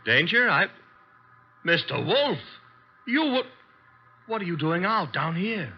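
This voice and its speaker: An actor playing a cocky, wisecracking detective's assistant in an old radio drama, slangy and flippant. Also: male voice, high voice, very animated, takes it slowly, slightly rough, exaggerated voice. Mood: wry. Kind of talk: 1940s-50s radio drama acting. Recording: dull vintage radio recording.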